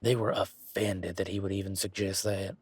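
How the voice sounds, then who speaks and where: A man acts out a towering, easygoing werewolf bodyguard with country roots. His voice is low and rough-edged, recorded dry and close. He talks informally and teasingly, with folksy phrasing and lingering hesitations, as in an intimate roleplay drama. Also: flat delivery, normal speed, darkish tone, dry recording. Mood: conversational. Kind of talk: roleplay audio drama, one-sided conversation.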